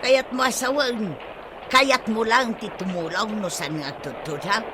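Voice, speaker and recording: A woman's voice: medium pitch, moderate pace, noisy background, close to an everyday voice. An actress with an Ilocano Filipino accent playing an anxious, scolding village mother in a radio comedy drama.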